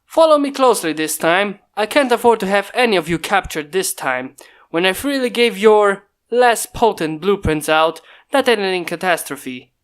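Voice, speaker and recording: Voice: mid-range voice, animated, normal speed, reverberant, lightly acted. A reader voicing a brooding human inventor who gives dry orders and mutters wry asides to himself. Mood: commanding.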